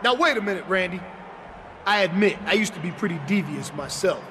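An actor playing an indignant pro wrestler, protesting emphatically in a wrestling promo. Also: male voice, high voice, expressive, brisk, noisy background.

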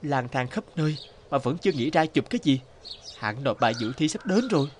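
Casting: stubborn young cartoon dreamer, whiny and emphatic, higher-pitched, very animated, music behind. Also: male voice, fairly quick. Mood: musing. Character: impatient young man, aspiring photographer, pleading and stubborn. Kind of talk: cartoon dubbing.

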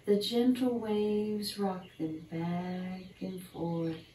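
An older woman reads a children's picture book in a gentle, unhurried, expressive bedtime style, talking to young listeners. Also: fairly low pitch. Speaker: storyteller reading a picture book. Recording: roomy, distant mic, faint background noise.

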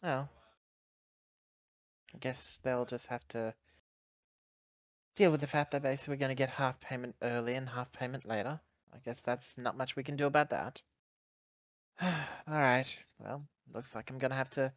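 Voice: mid-range voice, fairly even intonation, normal speed, pauses often, no room sound, dull audio, close to an everyday voice. A player voicing a wry, argumentative woman who is acting captain of a spaceship in a tabletop game, informal and sarcastic. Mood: grudging.

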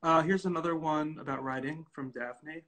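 Bright-voiced young man hosting casually. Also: a little high, fairly even intonation, normal speed. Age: young adult. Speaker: interviewer, host. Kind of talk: casual interview chat. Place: indoor room at home, video call.

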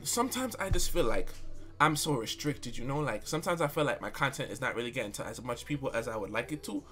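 A young man chatting casually on a live stream, slangy and animated as he reacts to viewer comments. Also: on the high side. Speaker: livestreamer.

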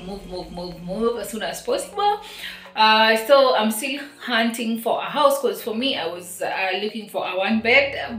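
A young woman in her twenties chatting casually to her viewers in a home vlog, her voice slightly hoarse as she is losing it, with lots of fillers and repeated "you guys". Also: mid-range voice, fairly bright tone. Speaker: vlogger. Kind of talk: casual vlog talk to camera. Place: small apartment kitchen and hallway, indoors. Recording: echoey small room with faint music.

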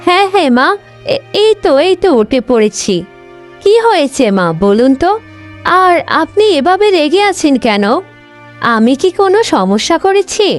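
A woman voicing a proud young bride, the elder sister in a cartoon, with a dramatic, expressive delivery. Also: high-pitched, moderate pace, faint music behind. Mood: anxious, pleading. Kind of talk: Bengali cartoon voice acting.

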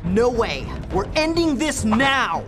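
Cartoon game hero: a determined teen boy, wildly varied pitch, casual, loud music behind. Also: sing-song, slow, heavily acted. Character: bold teenage ghost boy hero. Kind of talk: cartoon video game acting.

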